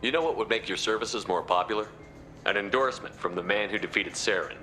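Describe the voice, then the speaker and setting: A man's voice: fairly low pitch, fast, pushed and bright. A man playing a confident, wry military commander in a video game, with a direct, casual and dry way of talking. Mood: confident, persuasive.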